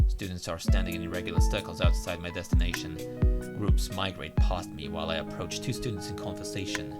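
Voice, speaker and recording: A man's voice: sits low, steady tone, brisk, loud music behind the voice. A man reading a visual novel aloud as game narration, with occasional asides of his own. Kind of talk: narrated read-aloud let's play with asides.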